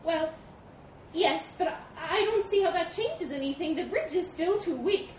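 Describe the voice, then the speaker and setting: Lady as a jittery lil monkey in a fable, way high voice, pleading, noisy recording. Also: animated, measured pace. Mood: nervous. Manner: squirming, apologetic, pleading. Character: small, nervous, well-meaning male monkey. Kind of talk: animal fable storytelling. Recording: echoey, distant mic, noisy background.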